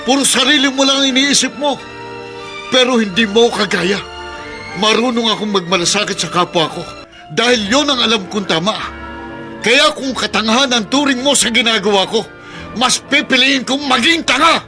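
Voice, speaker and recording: An actor playing a stubborn, principled, compassionate husband in a radio drama, earnest and emphatic, with a Filipino accent. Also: male voice, very high-pitched, moderate pace, music in the background. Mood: defiant, earnest.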